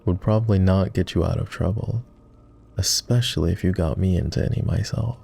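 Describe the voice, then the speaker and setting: A man playing a flirty, courtly vampire aristocrat in an intimate roleplay ASMR, with refined, formal, teasing speech in a low, gravelly murmur. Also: fairly quick, dark tone, soft background music. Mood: playful, teasing. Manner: refined, formal diction with playful teasing and sighs.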